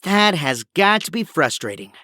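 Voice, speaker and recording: Man as animated, brainy young inventor hero. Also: on the high side, moderate pace, no echo, studio quality. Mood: focused, friendly. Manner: precise, technical, polite. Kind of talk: audio drama acting.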